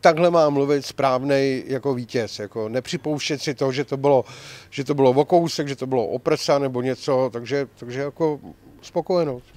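A man's voice: medium pitch, a little mellow. An older man hosting a bowling tournament, chatting and teasing as he reports and interviews players with a handheld mic in a large bowling alley. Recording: faint hall noise.

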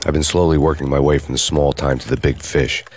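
An actor playing a world-weary undercover detective, deadpan and brooding, narrating a grim hard-boiled noir game story. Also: male voice, rumbling low, a little fast, slight background noise.

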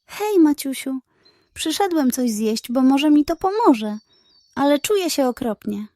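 A woman's voice: sits high, sing-song, a little slow, mellow, no echo, pitched above the performer's own voice. A woman voicing a small, worn-out male puppy in a children's story, weary and miserable. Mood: exhausted, miserable. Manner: weary, complaining.

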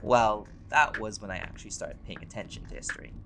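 A man's voice: on the low side, fairly quick, gravelly, noisy background. A man playing a laid-back, vain, centuries-old vampire in a conversational roleplay audio drama, with casual, sweary, bantering delivery. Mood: reflective, candid.

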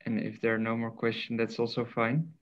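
A man moderates an online pitch session in a friendly, brisk manner. His voice is mid-pitched. It comes through muffled, lo-fi video call audio. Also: completely flat delivery. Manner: friendly, brisk, stumbles over names.

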